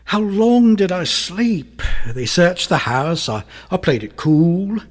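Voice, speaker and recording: An actor playing a guilt-ridden, drug-addled London murderer giving a halting, theatrical confession in a radio drama. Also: male voice, high voice, very animated, heavily acted. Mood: dazed, defensive.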